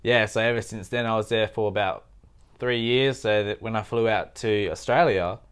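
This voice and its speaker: A man's voice: fairly low pitch, moderate pace, clear, resonant tone. A young man from Thailand, a podcast guest, talking in a laid-back, slangy way. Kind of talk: casual interview storytelling.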